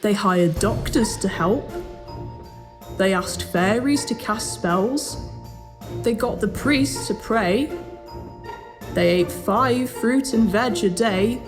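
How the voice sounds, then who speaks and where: A woman's voice: mid-range voice, takes it slowly, long pauses. A woman narrating a scripted fairy tale as the storyteller of a pantomime. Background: music.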